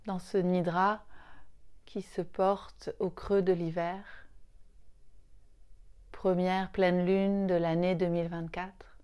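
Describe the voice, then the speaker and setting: A woman leading a guided yoga nidra meditation in a soothing, unhurried way, with short phrases and long pauses. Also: fairly low pitch, flat delivery, a little mellow, clean tone.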